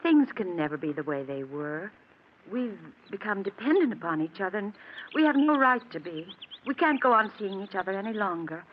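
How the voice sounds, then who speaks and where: An actress playing a gentle, sorrowful woman in love with a married man, restrained and earnest, in a 1940s radio drama. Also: medium pitch, big pitch swings, fairly quick, natural voice. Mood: anguished resolve. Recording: dull, hissy old radio broadcast.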